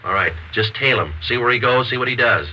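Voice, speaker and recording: An actor playing a terse, steady police sergeant who narrates in a clipped, matter-of-fact way, in the style of 1950s radio drama. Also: male voice, medium pitch, brisk, a little hiss or hum. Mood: brisk, urgent. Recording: dull vintage radio recording.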